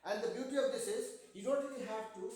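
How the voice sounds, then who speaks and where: Casting: middle-aged Indian-accented male tutor, high voice, joking and quizzing students, very echoey room. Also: fast talker, darkish tone. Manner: joking, asks students questions. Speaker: math tutor. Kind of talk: online math class.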